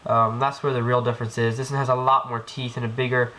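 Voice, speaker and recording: A man's voice: mid-range voice, flat delivery, quick pace, pushed and bright. A man with a cold giving a casual hands-on tutorial, rambling with many ums and restarts as he works. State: has a cold. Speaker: airsoft gearbox tech demonstrator. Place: indoor room, work on floor. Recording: noisy home video with clicks.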